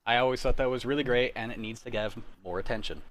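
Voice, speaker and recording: A man's voice: medium pitch, fast talker, a bit bright. An American man in his thirties chatting casually and enthusiastically as a co-host, with some self-deprecating swearing. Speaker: podcast co-host and sound designer. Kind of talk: casual livestream chat.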